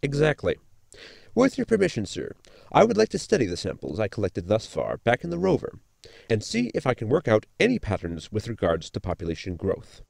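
An actor playing an articulate, bookish science officer in a sci-fi comedy audio drama, speaking formally and precisely. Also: male voice, on the low side, huge pitch swings, a little fast, creaky, a little mellow, no room sound, full character voice. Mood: earnest, analytical. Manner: formal, precise, long-winded.

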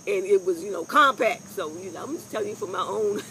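An older woman with a loud voice, giving frank, rambling workplace advice to a phone camera outdoors, with salty asides. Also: medium pitch, lively intonation, fast, slight background noise, rough recording. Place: outdoors on a lawn by trees. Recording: faint insects and crickets outdoors.

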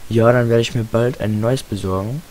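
A fourteen-year-old boy with a slightly hoarse voice, giving casual, rambling, self-deprecating gaming commentary in slangy speech. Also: mid-range voice, moderate pace, poor audio. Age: 14, teenager. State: slightly hoarse, sore throat. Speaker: gaming video host. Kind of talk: casual Let's Play commentary. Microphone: home computer microphone. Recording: hissy home microphone with steady noise.

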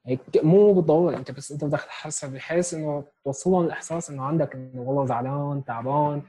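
A man's voice: fairly high pitch, racing, dark tone, husky, soft background music, rough recording. A man in his twenties with a Syrian Arabic accent gives an online sign language lesson, explaining step by step and often checking in with "okay?". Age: 20s. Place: small room at home, indoors. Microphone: earphone headset mic on a video call.

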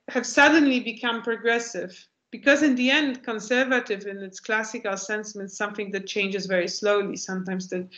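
A woman's voice: mid-range voice, fairly bright tone. A woman, giving a thoughtful lecture answer on a video call and often restarting her phrases. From Poland. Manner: thoughtful, restarts phrases while searching for words. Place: home room with bookshelves. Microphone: laptop mic on video call. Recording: echoey, dull lo-fi video call.

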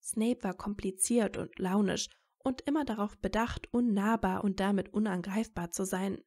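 A woman narrates a piece of fan fiction as an audio story. Her voice sits at a medium pitch, and she reads from a script as the storyteller. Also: fairly even intonation, normal speed, darkish tone.

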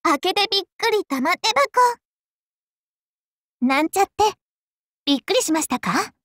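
A woman's voice: extremely high pitch, a bit bright, dry recording, studio quality, heavily acted. A woman playing a young, sweet, clingy dragon princess in a mobile game, very polite and girlish with theatrical, coquettish delivery. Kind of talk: Japanese mobile game character voice acting.

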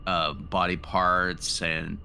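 A man's voice: sits low, level tone, slow, gravelly, pushed and bright, slight background noise. A man playing a tabletop game, casually describing his character's actions and searching for words.

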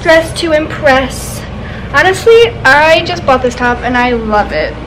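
A woman's voice: high voice, animated, unhurried, background noise. A young woman in her twenties casually vlogging a road trip, chatty and full of "like" fillers, filming at arm's length. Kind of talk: casual travel vlog. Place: outdoors and in a car on a road trip. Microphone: handheld camera at arm's length.

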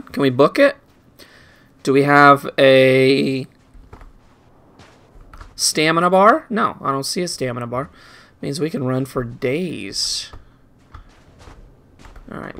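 A man's voice: medium pitch, unhurried, long pauses, fairly bright tone. A man giving casual video game commentary, rambling and muttering playfully to himself as he plays.

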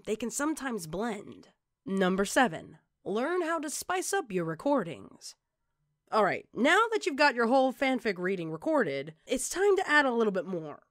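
Female tutorial presenter, animated melodic delivery, instructional scripted read, dry studio-like sound. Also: on the high side, no room sound.

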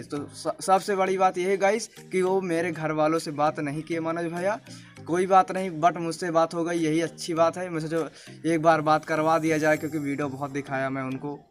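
A young Indian man in his late teens talking casually to camera as a video host. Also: fairly high pitch. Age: young adult, late teens. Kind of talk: casual vlog talk to camera. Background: music.

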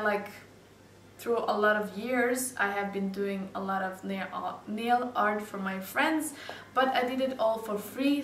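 A woman's voice: medium pitch, a bit bright, slight background noise. A young woman from Serbia, speaking English as a second language, chatting casually to the camera in a living room with many likes and little laughs. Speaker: beauty vlogger. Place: bright living room with sofa and plants. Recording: roomy echo, slightly distant mic.

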